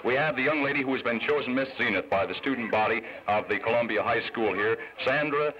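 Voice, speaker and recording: A man's voice: medium pitch, fast talker, background noise. A middle-aged American man hosting an outdoor television event, interviewing and announcing in a courteous, formal way. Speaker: television host. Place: outdoor park gathering. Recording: dull 1950s television film soundtrack.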